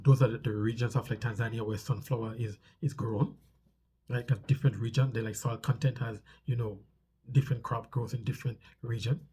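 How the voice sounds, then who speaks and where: A man's voice: medium pitch, steady tone, fairly quick, dark tone, breathy edge. A man with a stuffy nose talks casually to viewers as a vlog host, explaining things conversationally and repeating phrases for emphasis.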